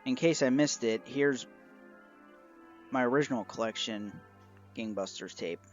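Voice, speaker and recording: A man's voice: mid-range voice, unhurried. Man narrating in dull lo-fi audio. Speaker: collector reviewing a personal collection. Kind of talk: semi-scripted video review narration. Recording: dull, lo-fi audio with music behind.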